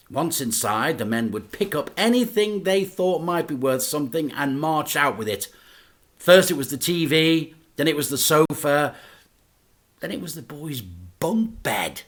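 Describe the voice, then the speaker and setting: A man, a teacher, reading a children's book aloud in an expressive, dramatic storytelling manner. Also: on the high side.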